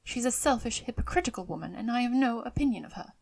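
A woman voicing a fretful, self-pitying, excitable middle-aged mother in an audiobook of a classic novel, with emphatic, complaining delivery. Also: on the high side, quick pace, vocal fry, voice pushed up. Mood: resentful, scolding.

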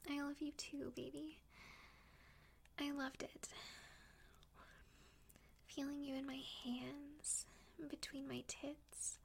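Female voice for intimate adult audio roleplay: high-pitched, breathy, frequently whispered, with very slow delivery. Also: slight background noise. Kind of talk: intimate erotic audio roleplay, addressing a partner.

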